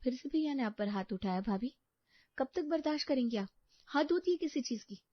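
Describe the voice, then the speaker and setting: A woman voicing an outspoken, protective young sister-in-law in an animated storybook. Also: high-pitched, brisk, no echo, voice pushed up. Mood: fervent. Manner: insistent, fervent. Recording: dull lo-fi dry recording.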